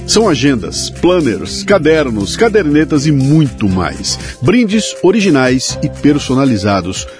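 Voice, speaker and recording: A man's voice: mid-range voice, very animated, measured pace, few pauses, music in the background. A Brazilian man hosting a podcast, moving between scripted sponsor reads and informal, colloquial conversation. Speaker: podcast host.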